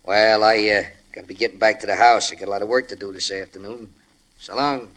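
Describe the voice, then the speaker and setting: An actor in an old radio crime drama playing a nosy household butler, insinuating in folksy working-class slang with hesitant pauses. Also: male voice, fairly low pitch, fairly even intonation, fairly bright tone, close to an everyday voice, poor audio. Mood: slyly probing. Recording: old-time radio broadcast recording.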